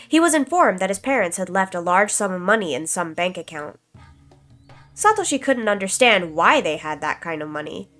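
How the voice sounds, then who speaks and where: A woman with a stuffy, slightly congested voice reads a visual novel aloud at a calm, even pace, with casual asides to her viewers. Her pitch sits in an ordinary middle range. Soft background music plays faintly behind her. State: stuffy nose, slight cough. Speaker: streamer.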